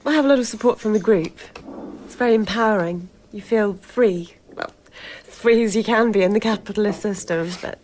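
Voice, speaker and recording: An actress plays a young female assistant on a film crew. Her voice sits at an average pitch. She chatters on about herself, oblivious to her listener, in natural radio drama style. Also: a little fast, a little mellow, a little hiss or hum, natural voice, poor audio. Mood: earnest, self-satisfied. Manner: talkative, self-regarding, oblivious.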